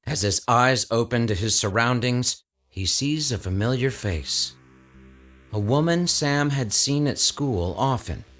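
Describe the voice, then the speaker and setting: A man's voice: mid-range voice, animated, unhurried, fairly bright tone. A man giving dramatic scripted narration for a horror audio drama. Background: music under the voice.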